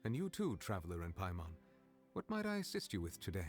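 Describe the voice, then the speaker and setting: Formal reserved high official from an anime game, low voice, brisk, faint music. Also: male voice, wildly swooping pitch, darkish tone. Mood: formal, polite. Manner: formal, measured wording, courteous. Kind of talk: anime-style video game acting.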